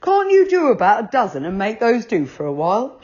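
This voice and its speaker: A woman's voice: on the high side, wildly swooping pitch, fairly quick, darkish tone, voice pushed up. Woman voicing a vain, chatty rabbit. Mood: impatient. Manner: chatty, self-admiring. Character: vain, friendly male rabbit car driver. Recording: dull lo-fi recording.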